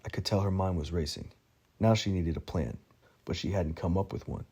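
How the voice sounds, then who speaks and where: A man's voice: low-pitched, brisk, darkish tone, slightly rough. A man reading a first-person audiobook story in a wry, self-mocking tone. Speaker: narrator.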